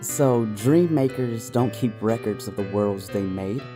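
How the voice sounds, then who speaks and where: A man's voice: fairly low pitch, a little slow, lightly acted. A man playing an aloof, proud siren father in an audio drama, speaking in a terse, dry manner. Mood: probing, wry. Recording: voice under plucked guitar music.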